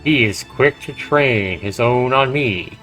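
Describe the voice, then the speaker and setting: A man's voice: mid-range voice, slow pace, pushed and bright. A man livestreaming a game, reading its narration aloud dramatically with casual, profane asides. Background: faint game music.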